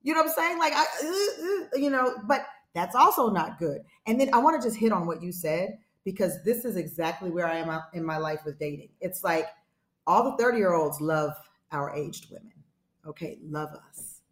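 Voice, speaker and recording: Animated woman in her forties, echoey room. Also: fairly low pitch, moderate pace. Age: 40s. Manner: animated, emphatic, frank. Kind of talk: candid casual chat.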